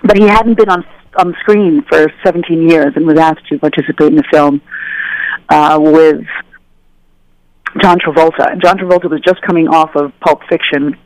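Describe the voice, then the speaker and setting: A woman telling stories as an interview guest, animated and conversational, acting out the voices of people she quotes. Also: low-pitched, normal speed, no room sound, poor audio. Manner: animated, acts out dialogue in voices. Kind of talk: casual conversational storytelling.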